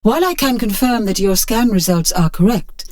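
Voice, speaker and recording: A woman voicing a formal, precise male supercomputer in an audiobook, measured and matter-of-fact. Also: medium pitch, animated, darkish tone, lightly acted.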